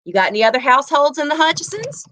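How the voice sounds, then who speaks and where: A woman voicing a brisk, orderly man who officiates at a town ceremony in a story reading. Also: high-pitched, big pitch swings, a bit bright, pitched above the performer's own voice. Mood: brisk, official. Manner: formal, directive calling out.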